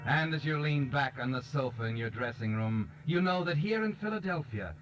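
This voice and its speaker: Sinister male narrator actor, 1940s radio mystery; lightish pitch, taunting delivery, dull recording over prominent music. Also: expressive, normal speed, lightly acted. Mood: knowing, sinister. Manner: taunting, dramatic second-person storytelling. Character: sinister all-knowing male narrator addressing the guilty. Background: dramatic music.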